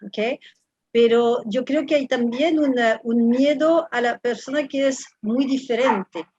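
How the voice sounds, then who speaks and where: A woman with a French accent answers an interviewer in a thoughtful, halting way, circling back on her words before she finds them. Her voice sits fairly high and sounds close and dry. The recording is dull and low-fidelity. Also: a little mellow, breathy edge, no room sound. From France. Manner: thoughtful, halting, repeats words while searching. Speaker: interview guest, professor. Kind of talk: reflective conversational interview.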